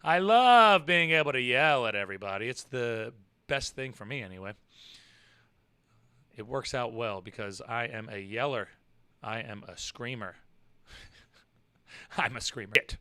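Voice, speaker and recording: A man hosting a casual livestream, hoarse from yelling and talking in a rambling, swearing, chatty way with loud bursts of excitement. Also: medium pitch, a little slow, long pauses. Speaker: livestream show host. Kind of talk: casual livestream chat with viewers.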